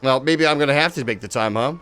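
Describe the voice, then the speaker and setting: A man's voice: mid-range voice, fast talker, slight background noise. A man live-streaming a game, chatting casually with viewers and swearing freely as he plays. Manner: excitable, swears casually, talks to chat.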